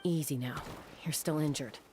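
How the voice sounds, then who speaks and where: Low, cool, commanding gunslinger woman an actress's role. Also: heavy background noise, close to an everyday voice. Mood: composed. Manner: measured, dry, commanding. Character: cool tough female gunslinger, protective and commanding. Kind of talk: English video game voice acting.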